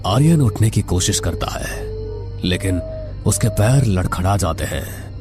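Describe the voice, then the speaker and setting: A man's voice: low voice, animated, unhurried, music in the background. A man narrating a scripted horror story in a dramatic, suspenseful manner.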